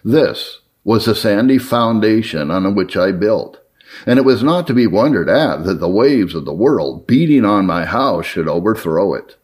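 A man reading an old formal letter aloud as a narrator, in an earnest, measured way. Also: low-pitched. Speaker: narrator reading historical religious writings.

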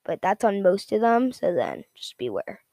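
A woman giving a casual, unscripted product rundown in a halting, repetitive, informal way. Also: medium pitch, big pitch swings, dark tone, no room sound, studio quality. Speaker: product reviewer.

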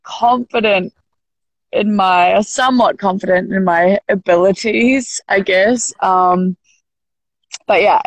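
A woman's voice: fairly low pitch, creaky, no room sound, low-quality recording. Rambling young New Zealand woman guest. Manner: rambling, halting, laughs easily. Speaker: podcast guest. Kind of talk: casual interview. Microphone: video call.

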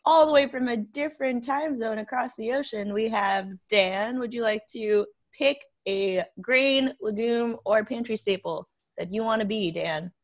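A woman's voice: a little high, a little slow, few pauses. A woman raised partly in the Philippines, co-hosting a podcast, bubbly and giggly as she asks questions. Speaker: podcast co-host. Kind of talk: casual chat.

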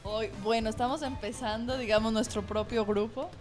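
A woman's voice: fairly high pitch, fairly even intonation, moderate pace, soft background music. A woman with a Mexican accent chatting casually and informally as a singer guest on a show. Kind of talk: casual chat.

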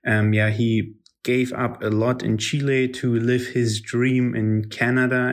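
Flat-voiced male podcast host. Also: medium pitch. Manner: hesitant, filler-heavy intro. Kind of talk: podcast introduction.

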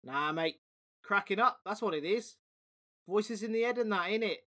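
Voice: mid-range voice, moderate pace, fairly bright tone, pitched above the performer's own voice, close to an everyday voice. A podcast host voicing a brash, streetwise male pigeon in a read-aloud story. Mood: cocky. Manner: brash, dismissive, chatty. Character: cheeky streetwise male pigeon, British slang. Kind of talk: storybook reading.

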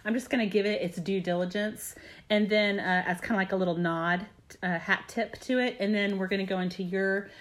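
A woman co-hosting a casual podcast, talking in an easy conversational way. She is animated, often jumps into a higher voice to act out what she thinks, and sits in a mid range for a woman. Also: a little fast. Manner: animated, acts out her own inner voice. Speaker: podcast co-host. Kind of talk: casual chat.

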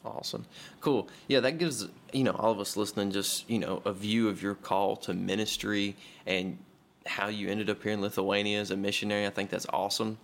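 Gravelly low-voiced podcast host. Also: male voice, steady tone, brisk. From Memphis, USA. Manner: joking, chatty. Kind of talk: casual podcast chat.

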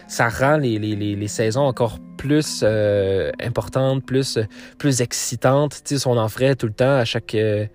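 A man's voice: medium pitch, moderate pace, darkish tone, soft background music. Quebec French man rambling on his podcast. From Quebec, Canada. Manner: rambling, many fillers and restarts. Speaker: podcast host. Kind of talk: casual solo podcast outro.

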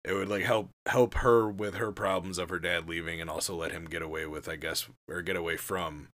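A man in casual chat, thinking out loud about the stories. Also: low voice, fairly even intonation, a little fast.

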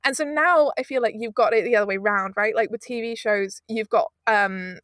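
A woman's voice: fairly high pitch, normal speed, fairly bright tone, no echo, studio quality. A woman speaking as an interview guest, effusive and emphatic, often wandering off into asides as she talks. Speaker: guest, audio drama producer. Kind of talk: enthusiastic interview conversation.

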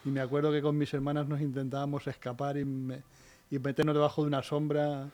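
A man's voice: fairly high pitch, level tone, fast talker, a little mellow. A man from La Guardia in Spain with a Castilian accent, chatting casually as a radio guest and often repeating phrases as he goes. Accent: Castilian Spanish. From La Guardia, Toledo, Spain. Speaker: interview guest, municipal archivist. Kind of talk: casual radio conversation about local history.

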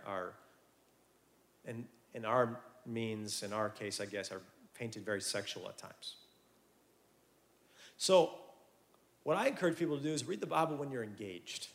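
A man in his thirties, a youth pastor, giving a lively, conversational sermon to young people with quick asides. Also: mid-range voice. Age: 30s. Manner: animated, conversational preaching with asides.

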